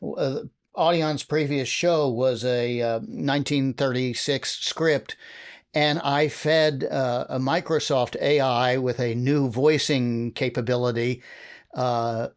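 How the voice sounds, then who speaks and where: A man with a mid-range voice moderates a panel conversation. He talks casually and haltingly, pausing often as he puts his thoughts together. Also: mid-range voice.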